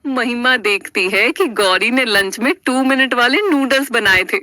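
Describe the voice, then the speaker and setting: High, bright woman telling a story. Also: measured pace, dry recording, clean studio sound. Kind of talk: dramatic scripted story narration.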